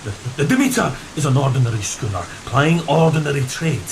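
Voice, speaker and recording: An agitated first mate, wildly swinging voice. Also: male voice, medium pitch, lively intonation, a little fast, gravelly. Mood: insistent, reasoning. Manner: earnest, formal, stammering when agitated. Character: agitated, rationalist ship's first mate, formal and intense. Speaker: actor in an audio drama. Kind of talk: gothic horror radio drama acting. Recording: loud rain and storm noise behind.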